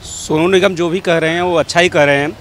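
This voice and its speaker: A man's voice: on the high side, steady tone, fairly quick, a bit bright. A man with an Indian accent giving an insistent, repetitive opinion in a street interview. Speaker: member of the public giving opinion. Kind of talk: vox pop opinion.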